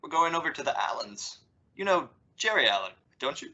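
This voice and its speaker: A woman's voice: sits low, very animated, fairly quick, bright, forceful tone, heavily acted. A woman playing a wry, exasperated but loving middle-aged father in an old-time radio sitcom, with emphatic, sarcastic delivery. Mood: warm, casual. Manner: dry sarcasm with emphatic swoops. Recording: dull, lo-fi audio.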